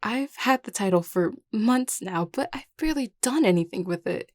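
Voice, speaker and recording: A woman's voice: medium pitch, steady tone, slow pace, vocal fry, warm tone, no echo, studio quality. A woman voicing an anxious, self-doubting young vampire leader in a scripted audio roleplay, hesitant, stammering and apologetic. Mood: anxious, upset.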